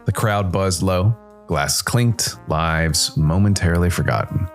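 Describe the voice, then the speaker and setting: A man voicing a weary, somber jazz musician who narrates a noir story in a brooding, deliberate, dramatic way. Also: low-pitched, soft background music. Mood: wistful, reflective. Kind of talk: scripted noir first-person narration.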